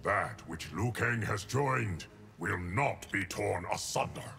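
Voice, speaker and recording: An actor playing a stern, righteous warrior in a video game, speaking in a grave, formal, commanding manner. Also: male voice, lowish voice, lively intonation, takes it slowly, gravelly, exaggerated voice. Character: stern, stoic male warrior, cold and righteous. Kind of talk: video game fighter dialogue.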